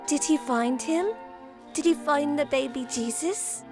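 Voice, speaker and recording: A woman's voice: sits high, a little slow, over music. A female performer playing a curious little girl, an eager daughter in an audio drama. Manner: eager questioning.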